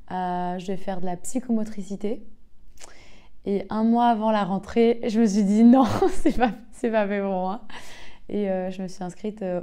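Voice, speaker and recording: Mellow-voiced young woman, hesitant speech. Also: medium pitch. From south of France. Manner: hesitant, many filler sounds, laughs easily. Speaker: singer and actress, interview guest. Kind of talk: casual interview talk.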